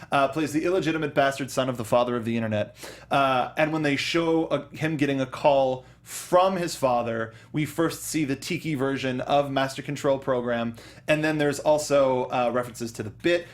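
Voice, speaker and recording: A man co-hosting a casual movie review show, talking enthusiastically and rambling with fillers. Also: mid-range voice. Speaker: podcast co-host.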